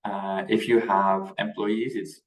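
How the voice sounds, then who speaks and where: Low-voiced young Dutch man, slightly distant. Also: level tone, slow, echoey room. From Netherlands. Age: young adult. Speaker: interview guest, youth organization leader. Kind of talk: conversational interview answers.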